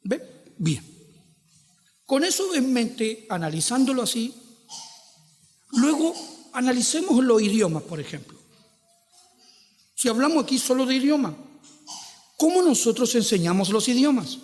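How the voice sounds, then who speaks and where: A man's voice: very high voice, very animated, measured pace, long pauses, darkish tone, in a large hall, soft background music. A Chilean man preaching a sermon, posing rhetorical questions and stretching out quoted scripture with emphasis. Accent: Chilean Spanish. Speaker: pastor.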